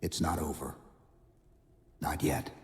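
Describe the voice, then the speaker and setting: Game soldier: very low voice, terse, stoic, commanding, reverberant mix over music. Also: male voice, steady tone, slow pace, darkish tone. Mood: grave, resolute. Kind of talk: dramatic video game acting.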